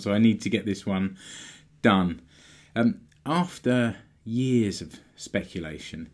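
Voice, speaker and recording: A man with a fairly low voice, a little hoarse and croaky from a sore throat, hosts his own business podcast. He walks listeners through practical points in a plain, explanatory manner. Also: darkish tone. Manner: explanatory, plain-spoken, occasional restarts. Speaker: podcast host.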